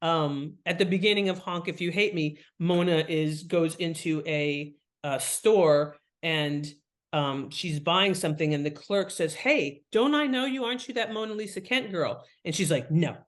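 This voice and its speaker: A woman's voice: lowish voice, normal speed. Woman explaining in conversational, instructive style. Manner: instructive, illustrates points with examples. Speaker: guest author and writing teacher.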